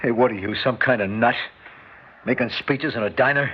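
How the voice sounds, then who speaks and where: An actor playing a rough, grieving and angry truck driver in a 1950s radio drama. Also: male voice, medium pitch, moderate pace, slight background noise, close to an everyday voice. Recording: dull old radio recording.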